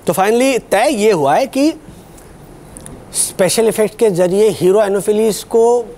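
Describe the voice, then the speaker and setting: High-voiced mocking comedy host. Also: male voice, slow, slight background noise. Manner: mocking, playful, chuckles at own jokes. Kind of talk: satirical news comedy. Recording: loud studio audience and music. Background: audience laughter and music.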